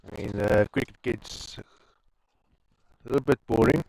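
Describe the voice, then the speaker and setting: A man's voice: mid-range voice, steady tone, a little slow, creaky, a little mellow, no echo, low-quality recording. A man with an Afrikaans accent giving chatty live commentary on an amateur cricket match, wandering into rambling asides between balls. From South Africa.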